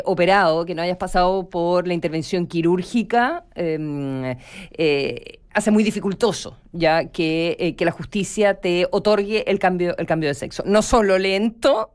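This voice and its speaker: A woman with a Chilean accent discussing and explaining on live radio, pausing deliberately and checking in with short tags. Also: fairly low pitch, clean tone. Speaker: radio show panelist.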